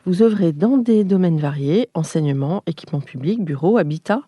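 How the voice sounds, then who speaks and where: A woman hosts an architecture interview in a very dark, mellow voice. She reads her introduction in a formal, measured way before turning to her guests. The close mic gives a dry sound. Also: on the low side, lively intonation, breathy edge, dry recording. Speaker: podcast host, interviewer. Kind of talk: scripted introduction and interview questions.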